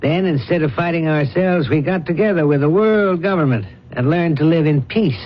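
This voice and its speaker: A man playing a blunt, commanding older industrialist father in a radio drama, talking in brisk, clipped, forceful phrases. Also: a little high, a little hiss or hum, close to an everyday voice. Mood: stern. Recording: dull vintage radio broadcast recording.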